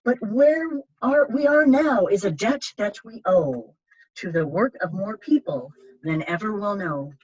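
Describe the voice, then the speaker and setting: A woman reading picture books aloud as a library storytime reader, with measured, emphatic storytelling. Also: medium pitch, lively intonation, a little mellow, breathy edge, highs cut off. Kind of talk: expressive read-aloud of children's picture books.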